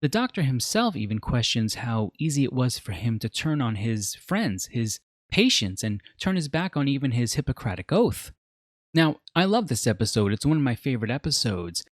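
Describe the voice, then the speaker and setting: A man's voice: mid-range voice, sing-song, normal speed, dry recording, clean studio sound. A man narrating a scripted video essay as a media reviewer, telling the story in a dramatic, reflective way.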